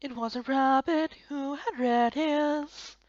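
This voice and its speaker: A woman's voice: sits high, fairly even intonation, slow, dull audio, background noise. A woman voicing a cheeky young male elf in a fantasy game, smug and sardonic. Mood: sing-song teasing. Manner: teasing, sardonic.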